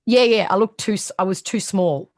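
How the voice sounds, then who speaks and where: Raspy Australian woman, blunt and animated. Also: mid-range voice, normal speed, slight background noise. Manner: blunt, animated, swears freely. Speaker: sports reporter, guest. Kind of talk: casual interview chat.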